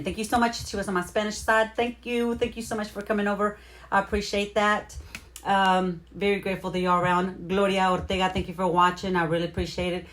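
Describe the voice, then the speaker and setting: Low-voiced middle-aged woman, chatty livestream host. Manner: chatty, informal, slangy, addresses viewers directly. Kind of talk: casual livestream chat with shout-outs to viewers.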